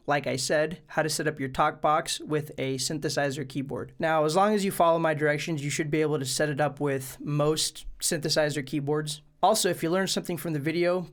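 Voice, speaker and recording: Higher-voiced man giving a casual tutorial. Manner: informal, plain step-by-step explaining. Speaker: host of a music gear tutorial channel. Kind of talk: casual instructional video tutorial.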